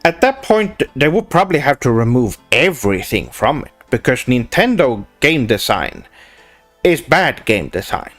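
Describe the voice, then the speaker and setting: A man's voice: mid-range voice, big pitch swings, normal speed, fairly bright tone, faint music behind. A young Swedish man streaming, reading chat questions and answering in a pompous weeaboo persona, dropping in Japanese words and often restarting with "uh". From Sweden. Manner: hesitant restarts with many "uh"s. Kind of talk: casual livestream chat, reading questions aloud.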